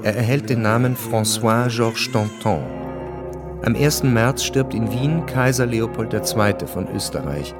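A man narrating a scripted radio drama in a measured, matter-of-fact way. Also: mid-range voice, over loud music.

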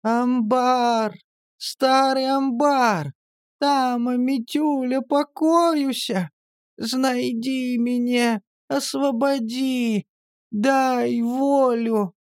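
A storyteller voicing a dead grandmother's ghost, pleading slowly and drawn out through headphones. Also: high-pitched, steady tone, smooth, no room sound, clean studio sound, pitched above the performer's own voice, full character voice. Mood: pleading, eerie. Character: ghostly grandmother calling from beyond the grave.

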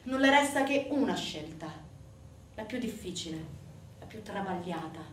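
A woman narrating a dramatic stage monologue in an emphatic, theatrical way with deliberate pauses. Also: medium pitch, animated. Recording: echoey, distant mic, faint noise.

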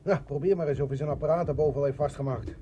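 An actor playing a grumbling common soldier in a dugout in a 1960s radio play. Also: male voice, a little high, steady tone, rapid-fire, mellow, natural voice. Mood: wry, weary. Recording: hissy old radio drama recording.

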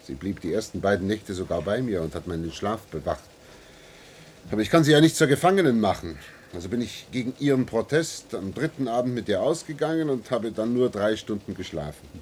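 An actor playing a weary, stubborn husband in a German science-fiction radio drama, emphatic and irritable. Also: male voice, lowish voice, animated, a little fast, darkish tone, lightly acted. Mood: exhausted, defiant. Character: weary, resentful husband and office worker, stubbornly refusing. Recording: noisy vintage radio play recording.

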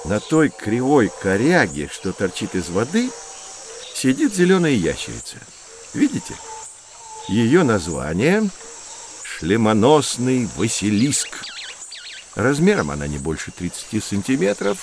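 Lively, animated friendly professor. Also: male voice, medium pitch, a little slow, a little hiss or hum. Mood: explaining. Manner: explanatory, expressive, addresses children kindly. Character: friendly male professor guiding curious children on adventures. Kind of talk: scripted educational audio drama.

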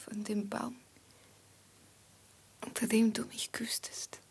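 Breathy, whispering woman in love. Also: mid-range voice, level tone, exaggerated voice. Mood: eager, intimate. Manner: slow, hushed, intimate delivery. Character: devoted woman in love, fearless and quietly proud. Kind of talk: radio drama acting.

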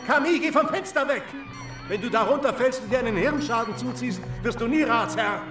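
Very high-pitched, scolding parent. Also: male voice, fairly quick, reverberant, far from the mic, over music. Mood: exasperated. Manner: shouting, sarcastic. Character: scolding, sardonic parent of a small boy. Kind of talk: radio drama acting.

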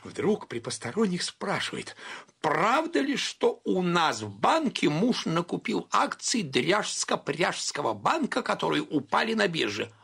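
A raspy, cranky, sickly bookkeeper. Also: male voice, high-pitched, sing-song, measured pace, a bit bright, exaggerated voice. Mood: scornful. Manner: grumbling, querulous, cracked delivery. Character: cranky, sickly, woman-hating bank bookkeeper. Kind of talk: theatrical radio play of a classic comedy.

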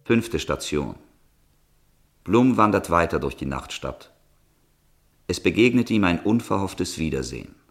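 A man's voice: deep voice, big pitch swings, unhurried, long pauses. A man reading scripted announcements as the announcer of a radio play.

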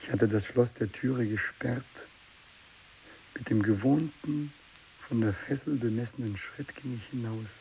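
A tormented renegade missionary, speaks in a gravelly, medium-pitched voice. He is a feverish zealot of evil who swings between hushed whispers and shrill, ecstatic cries. It is a monologue from a 1960s German radio drama, with faint tape hiss. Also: a little slow, poor audio. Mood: weary, feverish. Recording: hissy 1960s radio recording.

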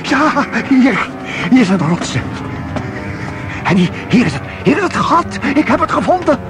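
A man's voice: extremely high pitch, sing-song, a little slow, music in the background, full character voice. An actor playing an anxious, bewildered man in a Dutch radio play, with a hesitant, stammering, questioning manner. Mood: panicked. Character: anxious, bewildered man lost in a dark swamp.

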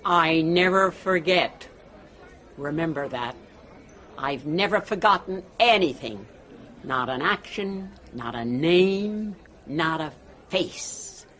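An actress playing a menacing, domineering older woman in a radio drama, speaking with deliberate emphasis. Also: low-pitched, expressive, fairly bright tone, exaggerated voice. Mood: cold menace. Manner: slow, deliberate, emphatic delivery.

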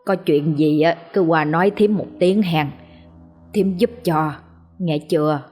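A woman with a Southern Vietnamese accent voicing a kind older village woman who speaks slowly and soothingly. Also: deep voice, a little mellow, soft background music. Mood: kindly. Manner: slow, soothing, coaxing.

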